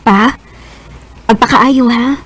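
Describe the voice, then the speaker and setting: An actress playing a gentle, dutiful grown daughter who is a nun, in a Bisaya Filipino radio drama. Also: female voice, fairly high pitch, fairly even intonation, slow. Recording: noisy radio drama with clicks.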